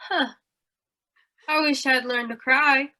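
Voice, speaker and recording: A woman playing a tough, defensive carnival aunt in an old-time radio drama, blunt and sharp-tongued. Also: high voice, steady tone, slow pace, exaggerated voice. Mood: defiant, bitter.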